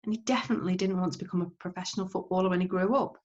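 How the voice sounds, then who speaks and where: A woman teacher giving an expressive audiobook-style reading of a children's novel. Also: lowish voice, racing, muffled recording.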